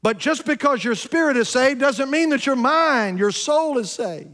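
A fifty-year-old man preaching a sermon to his congregation, emphatic, swinging between loud peaks and quiet asides and asking them questions. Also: very high-pitched, animated, moderate pace. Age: 50s. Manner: addresses congregation directly with questions. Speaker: pastor. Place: church sanctuary.

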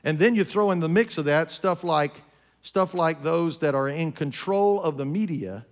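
Pastor, man, 50s, high voice, emphatic preacher who questions the congregation and drops to low asides. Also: moderate pace. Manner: emphatic preaching, swinging between loud peaks and low asides; addresses congregation directly with questions. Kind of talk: sermon. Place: church sanctuary.

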